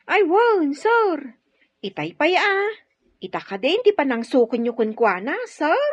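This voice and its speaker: A woman's voice: high-pitched, sing-song, measured pace, no echo, dull audio. A woman playing a chatty, cheeky housemaid in Ilocano radio drama, with an Ilocano accent. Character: chatty, cheeky housemaid, deferential but sassy.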